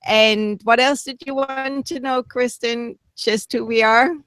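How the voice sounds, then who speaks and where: A woman's voice: on the high side, fairly even intonation, measured pace, fairly bright tone, clean tone, no echo. A woman from Germany with a German accent, a podcast guest chatting casually and laughing easily on a video call. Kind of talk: casual roundtable chat.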